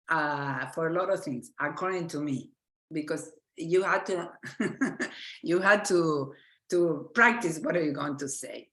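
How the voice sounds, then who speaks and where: A woman's voice: fairly low pitch, roomy sound. Argentine-accented woman giving a lecture. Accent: Argentine Spanish. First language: Spanish. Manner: earnest, searching for words, frequent restarts. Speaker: physician lecturer. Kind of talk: lecture presentation.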